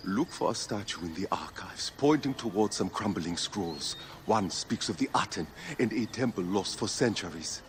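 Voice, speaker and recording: A man's voice: fairly low pitch, expressive, normal speed, hardly any pauses, slight background noise, close to an everyday voice. Man acting as fervent sun-cult priest. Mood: devout, measured. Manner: earnest, devout, pleading. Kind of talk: dramatic video game cutscene acting.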